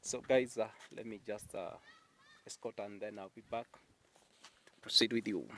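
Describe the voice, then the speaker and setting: A man vlogging outdoors in a village, slowly explaining things on a casual tour and laughing often. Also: on the low side, fairly even intonation, fairly bright tone, a little hiss or hum. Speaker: vlogger giving a farm tour. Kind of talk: casual guided tour chat.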